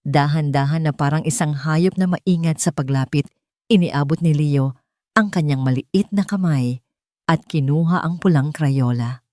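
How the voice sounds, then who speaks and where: A Filipino woman narrates a story in a low-pitched voice with a soft, dark tone. The delivery is scripted audiobook storytelling, recorded very dry and close. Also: normal speed, no echo.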